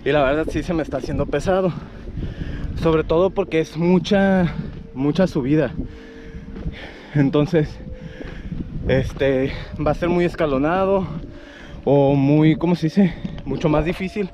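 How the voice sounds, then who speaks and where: A man's voice: a little high, a little slow, pauses often. A man in his thirties talking casually to camera as he climbs a trail, hesitantly searching for words. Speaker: vlogger. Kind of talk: casual hiking vlog to camera. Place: outdoors on an open hillside trail. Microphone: action camera held at arm's length. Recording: muffled outdoor mic, loud wind noise.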